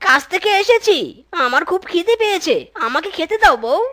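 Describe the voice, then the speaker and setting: Casting: whiny, childish, scheming little husband for a cartoon, extremely high and wildly swooping. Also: female voice, lively intonation, a little fast. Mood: whining, pleading. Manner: exclamatory, whining, pleading. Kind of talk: animated children's cartoon dialogue. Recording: loud music behind the voice. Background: music.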